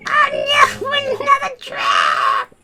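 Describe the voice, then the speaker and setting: A man voicing a loud, whiny talking cartoon cat with a shrill, squawky voice and drawn-out shouts, in an improvised parody dub. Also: huge pitch swings, vocal fry, over loud music, full character voice. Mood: loud, defensive.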